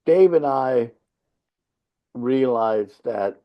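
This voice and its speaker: A man from Finland, raised speaking Swedish, talks bluntly and with plenty of swearing as he explains how his band works. His pitch sits in an ordinary middle range. The audio is a little dull and lo-fi. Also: animated, very slow. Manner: blunt, profane, emphatic storytelling. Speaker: rock band leader, podcast guest. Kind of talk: casual interview chat.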